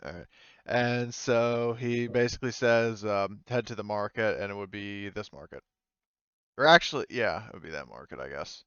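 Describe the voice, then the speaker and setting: A man game master running a tabletop game, talking in a halting way with lots of fillers and chuckles, over a voice call mic. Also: fairly low pitch, fairly bright tone, clean tone, dry recording. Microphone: online voice call mic. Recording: dull, lo-fi voice call audio.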